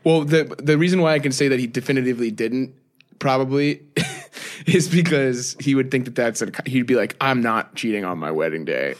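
A man's voice: mid-range voice, normal speed. A man guesting on a podcast in casual, joking banter, often acting out other people's reactions. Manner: animated, jokey, mimics others' reactions.

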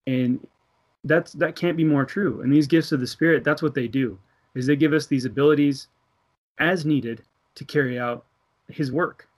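A man's voice: fairly high pitch, steady tone, normal speed. Earnest guy in his 30s telling a faith story, laughs a bit, does the other people's voices. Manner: earnest storytelling, laughs between thoughts, acts out dialogue. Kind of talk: casual religious discussion.

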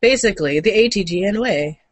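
A woman's voice: medium pitch, expressive, fairly quick, breathy edge, no echo. A woman co-hosting a podcast with casual, informal banter. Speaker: podcast co-host.

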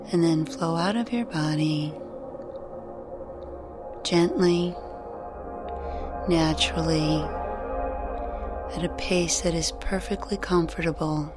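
A woman guiding a meditation in a gentle, soothing, unhurried way, leaving long pauses between phrases. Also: fairly low pitch. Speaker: meditation guide. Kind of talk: guided meditation narration. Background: music.

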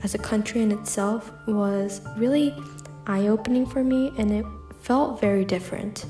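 A young woman from the United States talks through a personal solo podcast episode in a reflective way, leaning on "like" and "um" as she goes. Her voice is mellow and warm, set at a middle pitch. The room is echoey and faint music sits underneath. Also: normal speed. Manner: reflective, frequent "like" and "um" fillers. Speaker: podcast host.

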